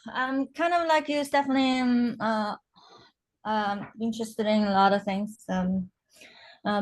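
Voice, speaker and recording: A woman student introducing herself to an online class over a video call, hesitant and full of fillers. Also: mid-range voice.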